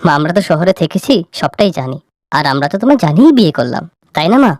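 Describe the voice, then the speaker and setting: A woman's voice: low-pitched, sing-song, quick pace, a little mellow, no room sound, clean studio sound, voice pushed down. A woman voicing an earnest, persuasive young married son in a cartoon. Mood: pleading.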